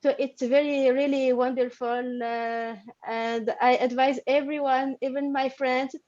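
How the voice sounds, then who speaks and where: A middle-aged pediatrician from Algeria, speaking English with a French accent over a video call, halting with many fillers. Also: female voice, sits high, little pitch movement, a little mellow. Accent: French-influenced English. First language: not English; studied in French. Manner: halting, many fillers and restarts, laughs often. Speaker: pediatrician and course student. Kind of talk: webinar interview. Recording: dull lo-fi call audio.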